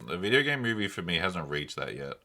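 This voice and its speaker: A man's voice: deep voice, sing-song, fast talker, sharp tone. A man with an Australian accent co-hosting a podcast in a casual, rambling way, often saying "like". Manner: rambling, hedging, heavy use of "like". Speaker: podcast co-host. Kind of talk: casual pop-culture podcast chat.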